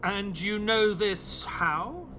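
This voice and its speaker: A man's voice: sits high, lively intonation, drawn-out words, background noise, full character voice. An actor playing an earnest, weary male companion to a detective, questioning and reflective, in an audio play. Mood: puzzled, curious. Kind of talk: audio play acting and narration.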